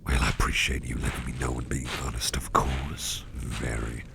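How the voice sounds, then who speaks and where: A man voicing a mischievous boss with sharp teeth in an ASMR roleplay, talking in a soft, teasing half-whisper. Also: extremely low pitch, flat delivery, moderate pace, creaky, heavy background noise, full character voice. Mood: confiding.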